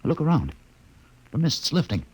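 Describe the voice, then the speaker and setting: An actor playing a cynical, brooding spaceship doctor with a sardonic, measured manner, in a vintage radio drama. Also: male voice, on the high side, huge pitch swings, darkish tone, husky, full character voice, low-quality recording. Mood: intrigued. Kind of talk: 1950s radio drama acting. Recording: vintage old-time radio recording.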